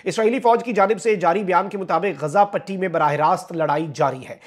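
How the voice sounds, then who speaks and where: A young man from Pakistan with a Pakistani Urdu accent, presenting online news commentary on a lapel mic in a small indoor studio. He reads the updates steadily and breaks off into asides to his viewers with filler pauses. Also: high-pitched. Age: young adult.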